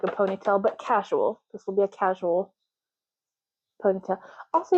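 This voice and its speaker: Woman, ASMR host, dark relaxed tone, unhurried casual roleplay patter, deliberate repetition, lo-fi muffled recording. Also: mid-range voice, slight background noise. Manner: slow, deliberate, repeats words, casual. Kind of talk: soft-spoken ASMR roleplay.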